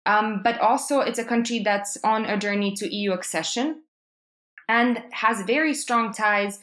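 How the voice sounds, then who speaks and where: A young adult woman from Serbia presenting a prepared talk on world affairs, measured and with frequent ums. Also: mid-range voice, a bit bright.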